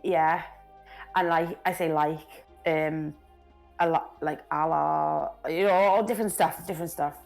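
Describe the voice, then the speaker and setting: A Welsh woman chats on a live stream in stop-start phrases, often restarting mid-thought. Her voice sits a bit low for a woman. Faint music plays underneath. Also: fairly even intonation, gravelly, a little mellow, poor audio. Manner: halting, restarts, laughs easily. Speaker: co-host. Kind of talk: casual chat on a live stream.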